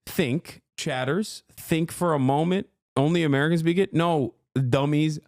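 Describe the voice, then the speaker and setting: A man's voice: a little high, measured pace, darkish tone, dry recording, studio quality. A man from Turkey, a native Turkish speaker, hosting a casual live-stream reaction and bluntly teasing his viewers. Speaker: streamer host.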